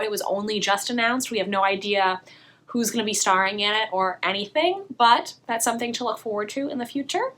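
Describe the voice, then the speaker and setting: A young woman, a little hoarse, chatting eagerly about books as a vlogger. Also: fairly high pitch, bright, forceful tone. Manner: chatty, enthusiastic, quick asides. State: slightly hoarse. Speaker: book vlogger. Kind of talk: casual book haul vlog.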